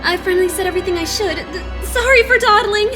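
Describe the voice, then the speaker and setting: Casting: female actor for sweet, modest schoolboy idol, high voice, halting polite delivery, anime game dub with backing music. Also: pushed and bright. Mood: hesitant, polite. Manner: hesitant, apologetic, polite.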